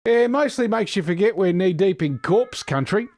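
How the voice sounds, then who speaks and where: A man's voice: sits high, lively intonation, normal speed, a little mellow, no room sound, clean studio sound, pitched above the performer's own voice. A man voicing a breezy, cheerful, foul-mouthed male stoner survivor in an audio drama.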